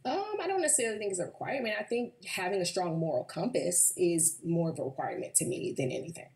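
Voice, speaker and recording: A woman's voice: fairly low pitch, expressive, quick pace, darkish tone. A woman on a dating show, chatty and frank as she explains herself. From United States.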